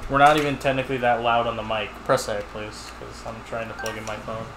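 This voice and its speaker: A young man chatting casually and teasing his partner while they play a video game together, with a naturally loud, excitable way of talking. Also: mid-range voice, fast, roomy sound, noisy background. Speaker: co-host of a gaming let's play. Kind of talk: casual chat while playing. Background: game music.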